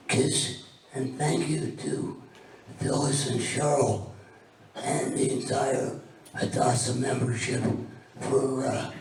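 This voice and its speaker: An older man, a retired teacher, gives an informal thank-you speech, halting with fillers and restarts. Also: mid-range voice, vocal fry, a little mellow, a little hiss or hum. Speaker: retired art teacher presenting student work. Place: large hall at a memorial ceremony. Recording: very echoey hall, mic far off, faint ambience.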